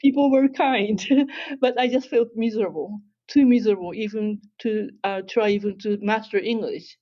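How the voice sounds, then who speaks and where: A woman from Japan, a native Japanese speaker with a Japanese accent, talking as a guest novelist at a literary festival in a measured, reflective way with hesitant restarts. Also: medium pitch, highs cut off.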